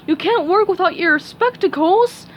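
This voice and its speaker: A woman voicing a dim, friendly male starfish in a storybook, slow, simple and cheerful. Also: very high-pitched, expressive, a little hiss or hum, voice pushed up. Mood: goofy.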